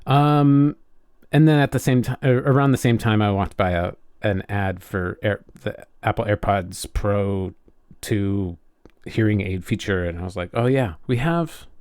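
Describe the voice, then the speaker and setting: Halting man in close, dry casual chat. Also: lowish voice, darkish tone, clear, resonant tone, no room sound. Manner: halting, frequent self-interruptions. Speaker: podcast co-host. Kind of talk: casual conversational podcast chat.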